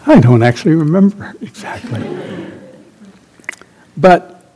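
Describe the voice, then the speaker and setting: An older man who grew up in Central America, a meditation teacher giving an informal dharma talk, telling personal stories in a halting, reflective way that trails off softly. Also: fairly high pitch, very animated, slight background noise.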